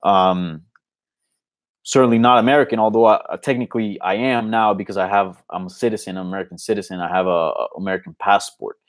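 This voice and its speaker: A man's voice: low-pitched, fairly even intonation, fast. A man from Colombia whose first language is Spanish, hosting a casual, unscripted live Q&A in an informal, conversational way. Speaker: host of an MMA live Q&A show.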